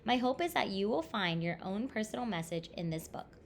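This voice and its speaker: A woman reading a children's picture book aloud expressively, with deliberate phrasing and stressed words. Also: medium pitch, big pitch swings, gravelly, a little hiss or hum. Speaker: storybook reader.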